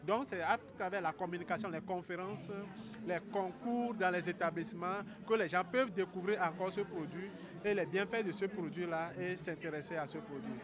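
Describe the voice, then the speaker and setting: Higher-voiced man amid crowd. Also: a little fast. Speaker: interviewee, organisation representative. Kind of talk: explanatory interview answer. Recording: busy outdoor crowd noise.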